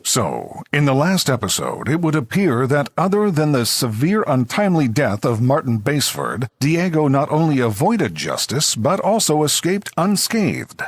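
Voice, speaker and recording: A man acting as a grand, mock-serious narrator for a sci-fi comedy audio drama. His delivery swings widely in pitch with dramatic flourish. The voice is a little husky, with soft music underneath. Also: wildly swooping pitch, moderate pace, few pauses, dry recording, clean studio sound.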